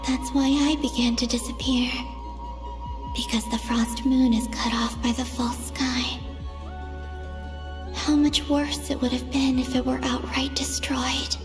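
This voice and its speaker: A woman playing a melancholy young moon goddess in a game cutscene, speaking softly and gently with some hesitation. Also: high voice, flat delivery, unhurried. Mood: quiet sorrow. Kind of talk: dramatic game cutscene acting. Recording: background music under the voice.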